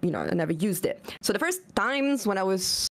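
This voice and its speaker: A woman's voice: mid-range voice, lively intonation, brisk, creaky. A young woman from Italy with an Italian accent, chatting as a podcast guest from a room at home, talking freely, searching for words at times and laughing often. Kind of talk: casual chat.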